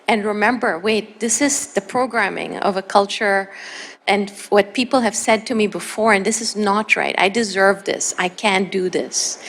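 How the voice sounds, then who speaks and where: A woman speaking on a panel in an earnest, measured way. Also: mid-range voice, creaky, a bit bright, slight background noise. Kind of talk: panel discussion.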